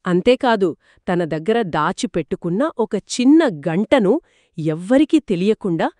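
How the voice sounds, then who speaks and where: A woman narrates a children's folktale in a conversational style. Her pitch sits in the middle and she addresses the young listeners directly and expressively. The sound is very dry, with no room on it. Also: expressive, a little slow, mellow, no room sound. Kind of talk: conversational two-person storytelling for children.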